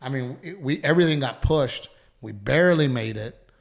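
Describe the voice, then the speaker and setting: Man, gravelly voice, worn and strained, rambling podcast host, swears casually. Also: mid-range voice, lively intonation, normal speed. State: voice worn from allergies and shows.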